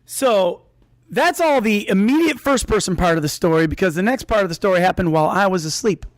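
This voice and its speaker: A man's voice: high-pitched, lively intonation, fairly quick, no room sound. A man telling a comedic personal story to an audience, rambling and interrupting himself with asides.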